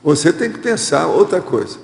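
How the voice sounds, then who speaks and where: Brazilian male host, gravelly voice, direct advising tone, echoey hall sound. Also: fairly high pitch, sing-song, normal speed. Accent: Brazilian Portuguese. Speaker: host reading viewer questions. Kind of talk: studio Q&A, answering questions.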